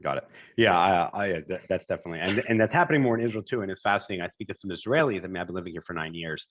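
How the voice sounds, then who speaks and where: A man's voice: low voice, lively intonation, racing, highs cut off. An American man hosting a casual interview, rambling and restarting his questions as he goes. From United States. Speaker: podcast host.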